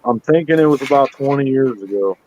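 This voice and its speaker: A man in his thirties reminiscing in a relaxed casual chat. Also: mid-range voice, brisk, lo-fi sound, a little hiss or hum. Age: 30s.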